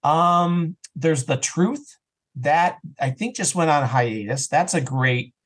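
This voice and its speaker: A man's voice: fairly high pitch, slow, fairly bright tone. A man speaking as an interview guest on a podcast in a casual, opinionated, emphatic conversational way. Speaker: interview guest, audio drama writer and actor.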